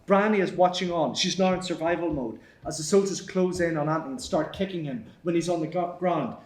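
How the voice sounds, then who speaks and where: Casting: male narrator for screenplay action lines, higher-pitched, recorded with clear room echo. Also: fairly quick. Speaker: narrator reading stage directions. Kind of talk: scripted screenplay narration at a table read. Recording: echoey room.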